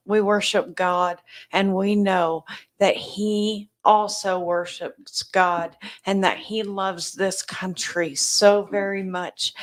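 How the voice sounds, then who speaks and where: A woman with an American accent giving earnest conversational commentary as a podcast co-host. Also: medium pitch.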